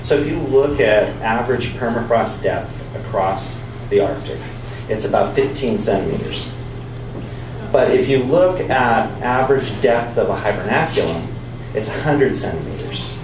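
A man giving a public science talk and answering audience questions in a relaxed, explanatory way. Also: medium pitch, normal speed. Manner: relaxed, explanatory, with some restarts. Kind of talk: lecture and audience Q&A. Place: bar or pub venue with an audience. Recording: echoey, distant, noisy room.

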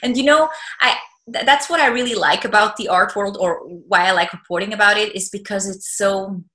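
A young woman art reporter chatting on a video call from her living room, friendly and quick to laugh, often restarting her sentences. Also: medium pitch, bright, forceful tone, roomy sound. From Switzerland. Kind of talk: casual video call interview. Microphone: laptop webcam video call.

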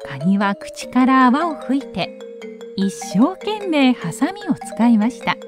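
A woman narrating a children's story in an expressive storytelling style. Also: sits high, slow pace, music in the background.

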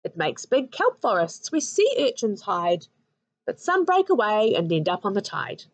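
A woman with a New Zealand accent voicing a calm mother in a storybook, soothing and explaining in rhyme. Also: medium pitch, moderate pace, dry recording. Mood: reassuring. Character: calm, reassuring mother explaining sea life.

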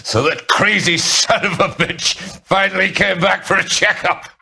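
A man's voice: sits high, normal speed, creaky, pushed and bright, slight background noise, full character voice. An actor playing a wounded, foul-mouthed crooked businessman in a video game, snarling and pleading in agony. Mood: desperate, bitter. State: in agony, crying out. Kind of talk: dramatic video game acting.

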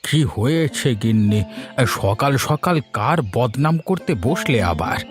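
A man's voice: mid-range voice, lively intonation, a little slow, faint music behind, lightly acted. A man playing a crafty, freeloading village husband, smug and wheedling, in a fairy-tale cartoon. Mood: teasing, smug.

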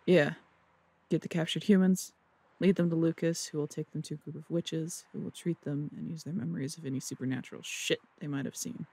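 Man or woman acting female detective, husky, gravelly, dry, sarcastic, businesslike, audio drama. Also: low-pitched, steady tone, a little fast, dark tone, heavily acted. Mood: serious, businesslike. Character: no-nonsense female detective, sharp-tongued and focused.

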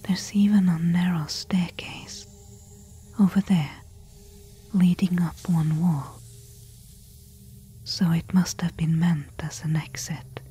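A woman playing a careful, eager temple explorer in a soft-spoken ASMR audio roleplay, hushed and deliberate. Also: lowish voice, flat delivery, husky, exaggerated voice. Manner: hushed, slow, deliberate whispering.

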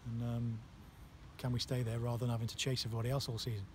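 Man, 30s, football manager, flat delivery, halting speech, outdoor noise behind. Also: mid-range voice. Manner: halting, many fillers and restarts. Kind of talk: post-match interview. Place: outdoors on a floodlit football pitch at night.

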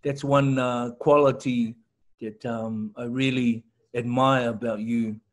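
A middle-aged man hosting an interview over a video call from home, encouraging and quick to affirm his guest. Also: medium pitch, steady tone. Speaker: host. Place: home room. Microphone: laptop webcam video call.

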